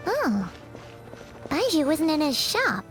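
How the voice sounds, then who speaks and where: A woman voicing a tiny, fretful floating girl companion in a video game, with a squeaky childlike voice. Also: wildly swooping pitch, slow pace, faint music behind, full character voice. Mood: concerned. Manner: refers to self in third person.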